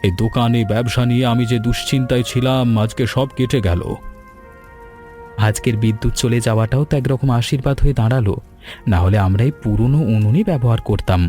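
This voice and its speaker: A man's voice: mid-range voice, a little fast, dark tone, lightly acted. An actor playing a sentimental older sweet-shop owner, a father speaking earnestly in a village cartoon. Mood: moved, proud. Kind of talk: dramatized cartoon dialogue. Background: background music.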